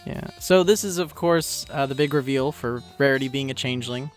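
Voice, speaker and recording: A man's voice: mid-range voice, fairly quick. A man hosting a casual commentary as the creator of a series, talking over the episode's audio. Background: music and cartoon episode audio.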